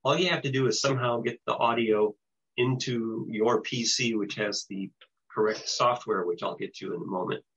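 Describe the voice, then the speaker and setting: An older American man around seventy with a husky voice, giving an informal presentation in a halting, explanatory way over a video call. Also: mid-range voice, fairly bright tone. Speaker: presenter, shortwave broadcaster. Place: small home room with bookshelves, indoors, on video call. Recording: dull, lo-fi video call audio.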